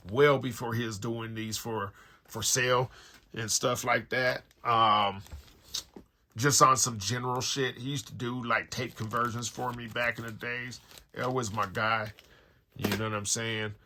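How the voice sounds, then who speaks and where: Bright-voiced American man, casual and slangy. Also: mid-range voice, steady tone, moderate pace. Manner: rambling, slangy, conversational with repeated tag phrases. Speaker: music collector and online store owner hosting an unboxing. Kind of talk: casual unboxing chat to viewers. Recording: noticeable background noise with clicks.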